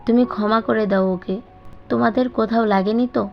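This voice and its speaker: A woman voicing a caring older mother figure in an audio story, speaking in a soft, placating, affectionate way. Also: on the high side, steady tone, moderate pace, creaky, muffled recording, faint music behind. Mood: soothing.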